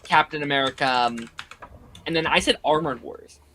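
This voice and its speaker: Slightly hoarse man, rambling chat. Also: on the high side, moderate pace, sharp tone, slight background noise. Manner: rambling, many "like" fillers. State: losing his voice, a little hoarse. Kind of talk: casual podcast chat.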